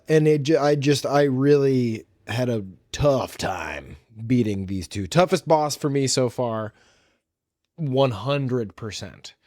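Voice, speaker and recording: A man's voice: mid-range voice, a little mellow, dry recording, clean studio sound. A man hosting a solo podcast, chatting casually and informally about films and games in slangy, hesitant phrases. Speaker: podcast host.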